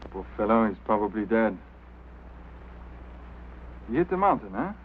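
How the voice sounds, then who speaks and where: An actor playing a stubborn, put-upon road crew foreman with a French Canadian accent and halting phrasing, in mid-century screen drama style. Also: on the low side, very animated, narrow, tinny audio. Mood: uneasy, wondering. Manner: halting, non-native phrasing. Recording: thin, noisy old film soundtrack.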